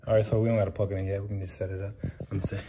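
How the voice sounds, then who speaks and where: A man's voice: fairly low pitch, level tone, racing. A man chatting casually as a home vlog host, playful and encouraging with his family. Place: at home, indoors and out in the yard. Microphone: handheld phone. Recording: clearly noisy handheld phone recording.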